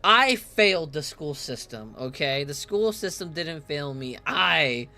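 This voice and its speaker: A man streaming a game from home on a headset, chatting casually and reading in-game text aloud, tripping over hard names. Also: fairly high pitch. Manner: reads lore in a slower, calmer voice.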